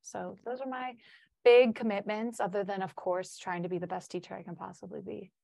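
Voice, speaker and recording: A woman's voice: medium pitch, steady tone, brisk, creaky, dark tone, no room sound. A young woman from Chicago whose first language is Polish, a college senior talking chattily and upbeat as a podcast guest. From Chicago, United States. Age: young adult. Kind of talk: casual podcast conversation.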